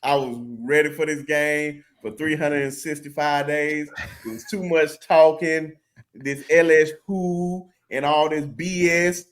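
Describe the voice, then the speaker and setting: A man hosting a casual sports podcast, talking with excited, rising bursts. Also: on the high side, unhurried. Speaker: podcast host.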